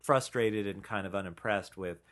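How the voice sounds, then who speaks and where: A man's voice: lowish voice, moderate pace, fairly bright tone. A man co-hosting a podcast in casual chat, enthusiastic and rambling as he talks. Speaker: podcast co-host.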